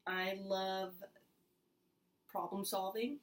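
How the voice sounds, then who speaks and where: A woman's voice: mid-range voice, fairly even intonation, drawn-out words, echoey room, distant mic. A young woman chatting in a laid-back, teasing way on a casual audition tape at home, often trailing off mid-sentence. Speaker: contestant. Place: small apartment at home.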